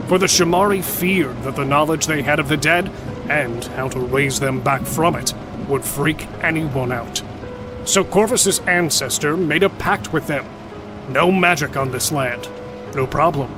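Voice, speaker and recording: A man playing an irreverent smith and lore keeper explaining dark history in a fantasy audio drama. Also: on the high side, lightly acted.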